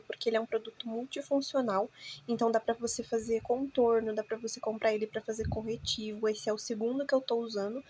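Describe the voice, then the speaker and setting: A young Brazilian woman with a slightly hoarse, nasal voice from a cold, chatting casually to viewers as she narrates a makeup tutorial. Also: on the high side, a little mellow, slight background noise, poor audio. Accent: Brazilian Portuguese. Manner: chatty, informal, talks straight to viewers. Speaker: makeup vlogger.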